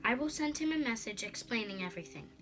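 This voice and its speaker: Woman reading as an earnest, bright-voiced kindly friar. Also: a little high, expressive, quick pace, faint music behind. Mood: earnest, reassuring. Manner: earnest, reassuring. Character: kindly troubled old friar counselling a girl.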